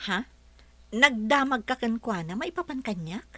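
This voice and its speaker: Bright-voiced young Filipino woman. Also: fairly high pitch, expressive, a little slow, no room sound, heavily acted. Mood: conversational. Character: Shirley, young working woman, firm and doubtful. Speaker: radio drama actress. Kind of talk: Filipino radio drama acting.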